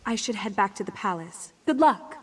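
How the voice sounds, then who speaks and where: A woman's voice: mid-range voice, lively intonation, normal speed, breathy edge. A woman playing an earnest, spirited young general's daughter in a fantasy game. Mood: friendly, wry. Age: young adult. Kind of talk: fantasy video game dialogue.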